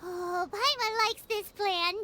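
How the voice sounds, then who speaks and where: A woman voicing a tiny, perky, childlike fairy companion in a video game, squeaky and excitable, referring to herself in the third person. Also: slow, very noisy. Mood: delighted, eager. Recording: loud music behind voice.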